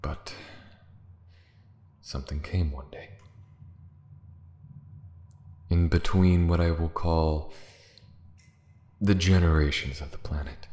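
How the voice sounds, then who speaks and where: A man voicing a proud, lonely dragon in an ASMR fantasy roleplay, speaking formally and musingly with dramatic pauses and hushed drops. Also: reverberant. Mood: somber, mournful. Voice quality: very deep, creaky. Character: lonely, proud male dragon, last survivor, wistful.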